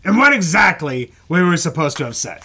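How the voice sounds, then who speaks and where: Radio drama station boss, male, actor-played: world-weary yet avuncular, fairly high sharp voice, halting wry delivery. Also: big pitch swings, breathy edge, full character voice. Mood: probing. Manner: wry, hesitant pauses, calls people kid. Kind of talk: old-time radio drama acting, live on stage.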